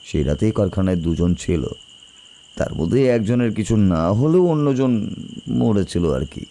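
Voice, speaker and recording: Man acting a low, mellow, ominous village storyteller. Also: lively intonation. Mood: ominous, knowing. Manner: colloquial, deliberate storytelling. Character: rural man darkly recounting local factory deaths. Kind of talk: horror audio drama storytelling.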